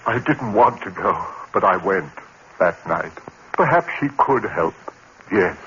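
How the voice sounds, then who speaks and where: A haunted male lecturer sliding into madness narrates in a crisp, bright voice that breaks into frantic cries. The old radio recording sounds dull and carries a faint hiss. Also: deep voice, animated, a little slow. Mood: brooding narration. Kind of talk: 1940s radio acting.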